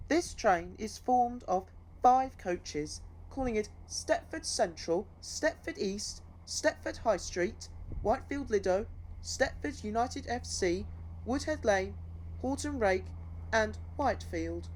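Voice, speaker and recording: A woman announcer with a slightly high voice delivers scripted platform announcements slowly and formally. Her phrases sound pieced together, and clear vehicle noise runs behind her. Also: expressive, darkish tone, rough recording. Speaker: train station announcer.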